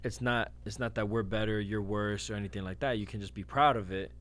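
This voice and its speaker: A man with a slight Spanish-influenced accent, co-hosting a podcast in easygoing casual chat, joking and quick to agree. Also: on the low side, steady tone. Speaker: podcast co-host.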